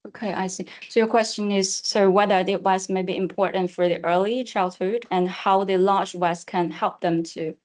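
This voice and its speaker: A woman's voice: medium pitch, fairly even intonation, normal speed, hardly any pauses, darkish tone. Hesitant woman speaker answering questions. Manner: hesitant, repeats herself. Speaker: conference speaker.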